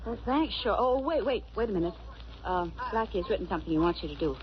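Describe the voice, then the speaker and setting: An actress playing a brisk, wry, loyal detective's assistant, in 1940s radio drama style. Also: female voice, medium pitch, animated, natural voice. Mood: brisk, efficient. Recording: noisy, muffled old radio recording.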